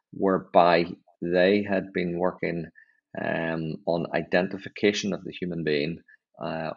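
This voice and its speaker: A middle-aged Northern Irish man with a very low, creaky voice answers interview questions. He explains himself in a measured, conversational way, and the audio is a little dull and lo-fi. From Northern Ireland. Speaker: chief executive, podcast guest.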